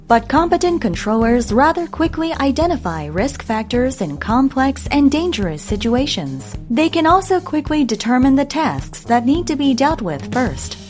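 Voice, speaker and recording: High-voiced woman narrating a lively explainer. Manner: instructional, rhetorical questions. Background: background music.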